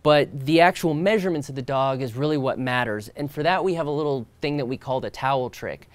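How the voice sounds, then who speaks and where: A man's voice: medium pitch, brisk, no room sound. Man explaining a product, dry close sound. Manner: relaxed, explanatory, occasional fillers and restarts. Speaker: company co-founder presenting product. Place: outdoors by a stone wall.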